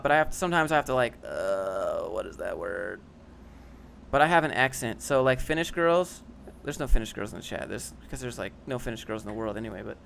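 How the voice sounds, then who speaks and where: A man's voice: mid-range voice, creaky, a bit bright, slight background noise. A young man casually reading and answering chat on a livestream, dry and sarcastic, often rambling.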